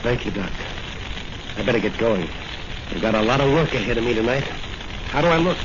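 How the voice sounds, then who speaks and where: A man plays a determined young crime-fighting hero from a 1940s radio serial. He speaks in a mid-pitched voice with earnest, clipped confidence. The old recording is dull, with clear background noise. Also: lively intonation, fairly quick, lightly acted. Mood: confident. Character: resolute young male crime-fighting policeman, secret masked hero.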